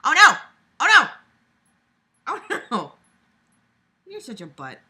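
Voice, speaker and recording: Sarcastic young woman with a bright voice. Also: high voice, wildly swooping pitch, slow. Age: young adult. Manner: sarcastic, exasperated, blunt and sweary. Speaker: vlogger reading a book aloud with commentary. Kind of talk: casual reading with mocking commentary. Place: small room at home, lying on a bed.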